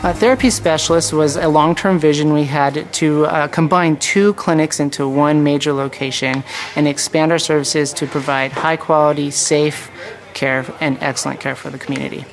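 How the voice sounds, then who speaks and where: A man's voice: fairly high pitch, fairly even intonation, moderate pace, faint music behind. An American man in his thirties presenting a clinic as its therapy manager in a promotional interview. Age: 30s. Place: large indoor therapy clinic.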